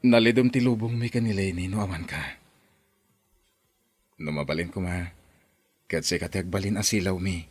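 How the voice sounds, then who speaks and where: A man's voice: deep voice, moderate pace, pauses often, husky, dry recording. A man playing a doting, pleading uncle and suitor in an Ilocano radio drama.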